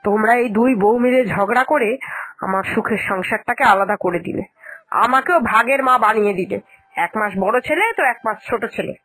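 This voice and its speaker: A woman's voice: mid-range voice, fairly quick, fairly bright tone, natural voice, low-quality recording. A woman voicing an aggrieved elderly mother-in-law in a cartoon, speaking in a plaintive, lamenting way. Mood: aggrieved scolding. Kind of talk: Bengali cartoon dialogue acting.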